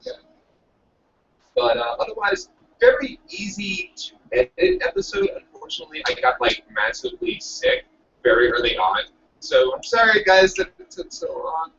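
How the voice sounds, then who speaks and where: A man's voice: very high-pitched, wildly swooping pitch, normal speed, gravelly, a bit bright. Rambling man on lo-fi call. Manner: eager, rambling, self-correcting. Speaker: director and editor guest. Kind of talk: casual chat over a call. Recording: distant lo-fi call audio with faint hiss.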